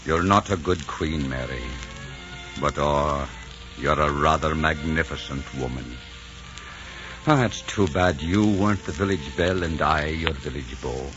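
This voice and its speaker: Old radio romance: deep, theatrical nobleman lover, ardent, solemn, resolute, on a dull period recording. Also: unhurried. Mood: tender resignation. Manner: theatrical, tender, solemn phrasing. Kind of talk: 1940s radio romantic drama acting. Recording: muffled 1940s radio recording with music.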